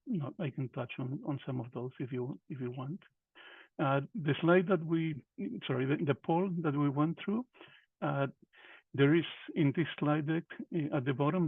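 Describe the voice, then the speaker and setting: A man's voice: mid-range voice, vocal fry, husky, dry recording. A middle-aged man from Peru, presenting a workshop over a video call, hesitant, with many fillers and restarts. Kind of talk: presenting a webinar lecture. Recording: tinny phone-like call audio.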